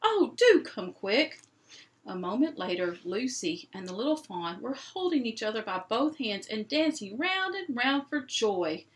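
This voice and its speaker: A woman reading a children's novel aloud as an expressive storyteller. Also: fairly high pitch, moderate pace, few pauses. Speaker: storybook reader.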